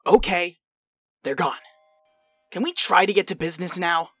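A woman's voice: lowish voice, moderate pace, husky, no room sound, soft background music. A woman voicing an angry, foul-mouthed young male troll in a cartoon dub, ranting and exasperated. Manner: ranting, irritable, shouty. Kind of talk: animated web comic dub acting.

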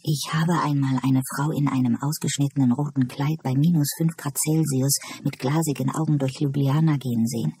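A narrator plays a woman in an asylum from an audiobook novel, calm and philosophical. She explains things in a musing way, with a dark, husky voice. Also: medium pitch, moderate pace, hardly any pauses.

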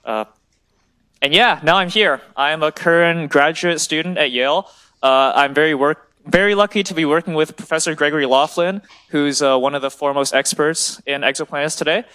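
Young guy grad student doing a casual science lecture, slightly high voice, pretty excited, big lecture hall. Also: a little fast. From China and the US. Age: 20s. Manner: enthusiastic, checks in with "right?". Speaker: graduate student speaker. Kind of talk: informal public science lecture.

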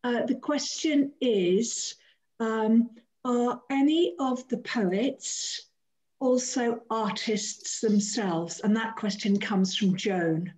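Woman, slightly high voice, chatty moderator, informal, video call sound. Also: slow, darkish tone, low-quality recording. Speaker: host of an online poetry reading event. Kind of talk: casual chatty event moderation. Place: indoors at home, on a group video call.

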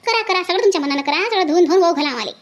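A woman voicing a spiteful, sulking aunt in an amateur cartoon comedy, sharp-tongued and sarcastic, with a Varhadi Marathi accent. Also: very high-pitched, animated, very fast. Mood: bitter sarcasm. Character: spiteful, sulking aunt refusing a wedding duty.

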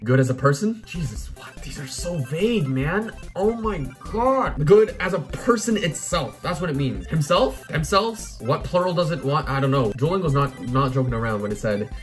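Animated young Korean man teaching casually. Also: on the high side, moderate pace, hardly any pauses, faint music behind. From South Korea. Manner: animated, self-talking, exclaiming at mistakes. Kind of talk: casual commentary while playing a language app. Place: small room indoors at night.

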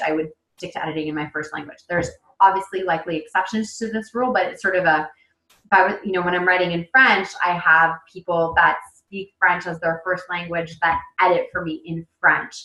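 A woman's voice: on the low side, fairly even intonation, a little fast, pushed and bright. Conversational woman in an echoey room. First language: English. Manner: conversational, many fillers and restarts. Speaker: author presenting a webinar. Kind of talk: casual instructional talk. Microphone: webcam on a video call. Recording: echoey room on a webcam mic.

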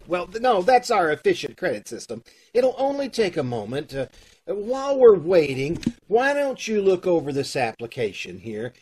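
Man playing a husky, flustered bank loan officer. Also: high voice, warm tone, heavily acted. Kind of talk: old-time radio comedy acting. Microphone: video call. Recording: live video-call recording.

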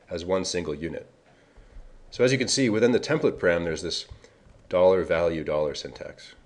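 A man's voice: low voice, a little mellow. A man giving a casual screencast tutorial, explaining configuration code and correcting himself now and then. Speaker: software developer presenting a tutorial. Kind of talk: screencast walkthrough.

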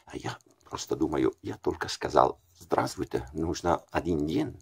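A man's voice: extremely low pitch, animated, normal speed, creaky, breathy edge. A man in his forties with an Italian accent, talking casually to camera and hesitating often with fillers and self-corrections. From Italy. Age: 40s. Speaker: language learner vlogger. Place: small bright room indoors.

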